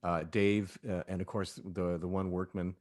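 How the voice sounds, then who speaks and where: A man who is an audio drama cast member, chatting casually in a halting, rambling, enthusiastic way. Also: low voice, level tone, darkish tone. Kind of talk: casual group chat.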